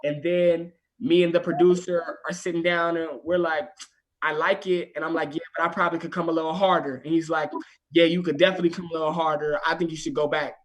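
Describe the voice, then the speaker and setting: A young man in his twenties from the US West Coast, a rapper guest telling animated stories with many asides in a casual podcast chat. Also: a little high, fast talker.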